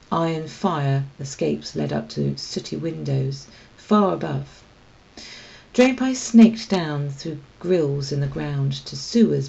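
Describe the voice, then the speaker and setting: A woman reading a children's story aloud as expressive scripted narration. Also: low voice, gravelly, dull audio. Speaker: storybook reader.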